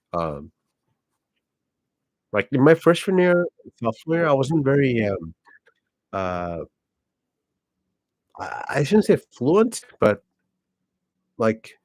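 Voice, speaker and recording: A man's voice: on the low side, darkish tone, no room sound. A man in his forties from South Korea, a native Korean speaker with a Korean accent, talking casually in a rambling way with many fillers and self-corrections. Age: 40s. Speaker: channel host. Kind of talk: casual personal vlog monologue.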